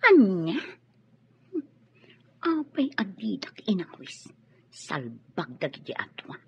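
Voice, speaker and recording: An actress playing a jealous, sulky nurse in a radio drama, questioning indignantly. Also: female voice, fairly low pitch, wildly swooping pitch, slow pace, breathy edge, full character voice. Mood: indignant, suspicious. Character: jealous, sulky woman nurse confronting her friend. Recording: dull lo-fi radio sound.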